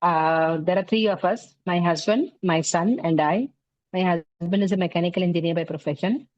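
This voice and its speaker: A middle-aged woman from South India with an Indian English accent, talking as an interview guest about her teaching work in a relaxed way, leaning on fillers like "you know, like". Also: fairly low pitch, moderate pace, muffled recording. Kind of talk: conversational interview.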